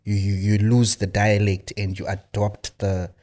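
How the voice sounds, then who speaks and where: A man's voice: fairly low pitch, monotone, measured pace, a little mellow. A man from Zimbabwe, a filmmaker guest in a studio interview, talking casually with many fillers and restarts.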